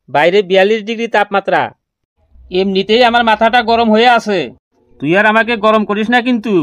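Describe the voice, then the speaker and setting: A man's voice: high-pitched, fairly bright tone, no room sound. A man voicing a stern, hot-tempered village schoolteacher in a comedy cartoon, scolding and exasperated. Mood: threatening.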